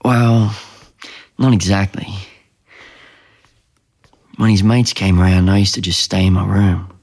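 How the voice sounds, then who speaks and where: An actor playing a troubled, self-doubting young man, husky and gravelly, speaking in a casual, self-deprecating way in a naturalistic audio drama. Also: sits low, fairly even intonation, a little slow, darkish tone, no echo. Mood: wry, bitter. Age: young adult.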